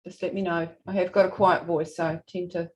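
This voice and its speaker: A woman with a New Zealand accent giving a semi-formal presentation to a hearing, speaking haltingly and often correcting herself. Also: fairly low pitch, flat delivery, darkish tone, breathy edge, low-quality recording. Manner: halting, hesitant delivery with frequent self-corrections. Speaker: regional council representative presenting.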